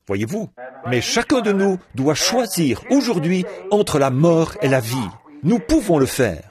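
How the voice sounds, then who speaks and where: A man delivering a translated sermon in a lively preaching cadence, repeating words as he goes. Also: fairly high pitch. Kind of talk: French reading of a translated sermon.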